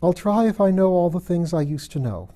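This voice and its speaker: Man playing a high-pitched, fretful little girl. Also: lively intonation, brisk, warm tone. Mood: puzzled, fretful. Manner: prim, exclamatory, talking to herself. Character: bewildered young girl, chatty, fretful and self-pitying. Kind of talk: storybook character voice.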